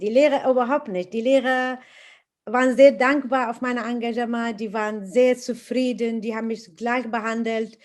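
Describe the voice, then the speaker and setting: A middle-aged woman from Iraq who speaks German, telling her own story in an interview, earnest and animated, with frequent hesitations. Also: a little high.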